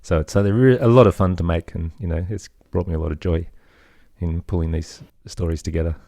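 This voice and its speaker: A man's voice: deep voice, fairly even intonation, a little fast, gravelly, mellow, dry recording, clean studio sound. A middle-aged man with an Australian accent, chatting casually as an interview guest in a tentative, rambling way. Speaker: podcast guest, musician.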